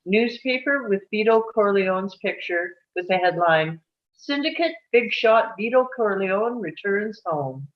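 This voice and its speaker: A woman's voice: mid-range voice, animated, measured pace. Woman reading screenplay directions, muffled audio. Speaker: stage directions reader at a table read. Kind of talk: scripted narration of screenplay action.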